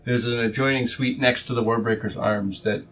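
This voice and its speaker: A man's voice: medium pitch, steady tone, moderate pace, background noise. A man running a tabletop game, casually asking his players about their characters. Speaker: game master. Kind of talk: casual session-zero chat.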